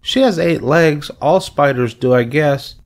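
A man's voice: on the high side, a little slow, voice pushed up. A man playing a young farm girl in a storybook, chatting in an eager, matter-of-fact, childlike way. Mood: thoughtful, admiring.

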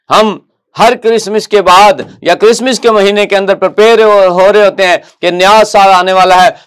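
Middle-aged pakistani preacher, fairly high voice, earnest sermon full of questions, lapel mic in a tv studio. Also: male voice, fairly bright tone. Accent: Pakistani Urdu. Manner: earnest preaching with rhetorical questions, repeated "my dear ones". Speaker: pastor. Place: TV news studio, indoors.

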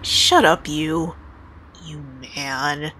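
Young female assistant, voiced by an actress, audio drama, flustered, irritable, unhurried pace, faint background noise. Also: low voice, steady tone, sharp tone, heavily acted. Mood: annoyed. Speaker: voice actor.

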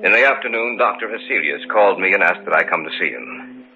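A very low-voiced, somber hero recounting an eerie tale. It is vintage radio drama, muffled, with clear music underneath. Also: male voice, expressive, fast, bright, forceful tone, heavily acted. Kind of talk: old-time radio drama narration.

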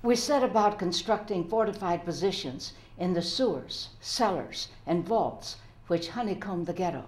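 A resolute resistance fighter read by an older woman recounts in an earnest dramatic reading. The voice is fairly low for a woman and rings with room echo. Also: expressive, normal speed, hardly any pauses, a little mellow, natural voice. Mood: grave, resolute. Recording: roomy echo.

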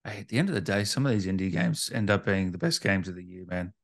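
A man's voice: sits low, fast talker. A man hosting an interview in a casual, informal chat, reacting readily to the guest. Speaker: interview host.

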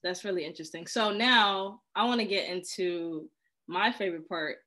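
Female interviewer, young adult, slightly low voice, informal chatty questioning on a video call. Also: normal speed, pushed and bright. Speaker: interviewer, host. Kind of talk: casual interview chat. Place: indoor room at home, on a video call.

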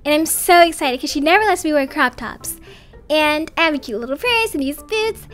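A woman narrating an animated story as a video creator, chatty, girlish and excitable. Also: sits high, normal speed, faint music behind.

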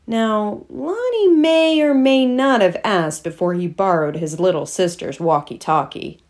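A middle-aged woman reading a picture book aloud for children, with deliberate, teacherly phrasing and pauses. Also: mid-range voice, expressive, a little mellow. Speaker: children's read-aloud host. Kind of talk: storybook read-aloud narration for children.

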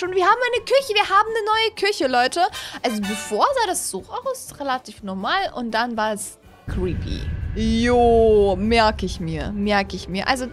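High-voiced excitable young woman reacting. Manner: excitable, exclaiming, repeats herself. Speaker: gaming video host. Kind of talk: casual excited reaction commentary. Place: small home streaming room, indoors. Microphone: desk condenser microphone, close.

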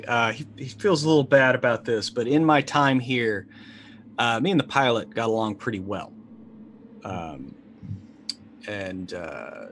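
A man in his forties playing a tabletop game on a video call, casually and haltingly thinking aloud about his character's moves. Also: lowish voice, a bit bright, slight background noise.

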